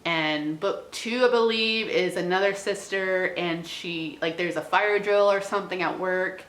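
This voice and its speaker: A woman with a slightly low voice chats casually, like a vlogger talking to viewers. Her phrasing is informal and slangy, with plenty of hedging. The sound is roomy, with clear echo. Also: unhurried, a bit bright. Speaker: book vlogger, host. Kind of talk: casual chat to camera.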